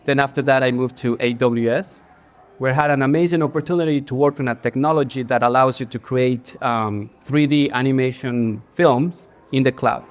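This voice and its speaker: A man's voice: mid-range voice, normal speed. A man in his forties from Ecuador with an Ecuadorian Spanish accent, speaking as a guest in a casual stage interview, earnest, with many fillers and restarts. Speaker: guest, creative director. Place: bar, live audience.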